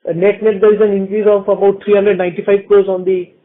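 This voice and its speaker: A man with an Indian accent answering questions on an earnings call as a company executive, explaining in a halting way. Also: high voice, little pitch movement. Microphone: phone line. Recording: tinny narrowband phone conference line.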